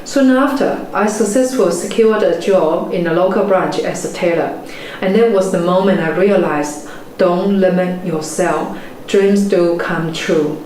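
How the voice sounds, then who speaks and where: A woman in her forties, a native Chinese speaker with a Chinese accent, giving a measured, earnest motivational talk as a property investment mentor. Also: fairly low pitch, a little mellow. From China. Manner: measured, earnest storytelling delivery. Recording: very echoey room, faint background noise.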